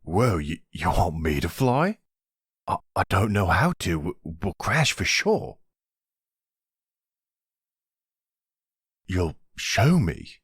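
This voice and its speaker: A nervous, none-too-bright young guy, acted by a man in an audio drama. He stammers in slangy bro talk, with a low, husky voice recorded close and dry. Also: very animated, slow pace, no echo, exaggerated voice. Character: panicky dim young bro-talking reluctant kidnapper. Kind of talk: audio roleplay acting.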